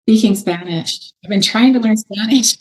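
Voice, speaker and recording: A woman's voice: mid-range voice, normal speed, a little mellow, rough recording. A middle-aged woman, a fitness trainer, answering interview questions in a hesitant, casual way and laughing often.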